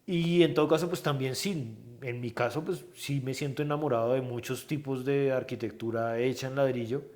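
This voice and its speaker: A man, a guest artist talking thoughtfully in a casual interview, often pausing and restarting as he searches for words. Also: medium pitch, fairly even intonation, a little mellow. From Colombia.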